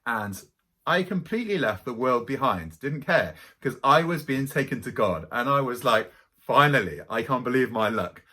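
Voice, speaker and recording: Animated man telling spiritual stories. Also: medium pitch, moderate pace, breathy edge. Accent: Welsh. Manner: animated, dramatic storyteller. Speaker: spiritual teacher. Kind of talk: storytelling to camera.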